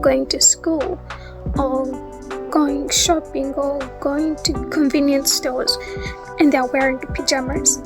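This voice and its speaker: Young female vlogger, Ugandan accent, high pitch, slow casual delivery over background music. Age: young adult. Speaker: YouTube vlogger. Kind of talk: casual talk to viewers.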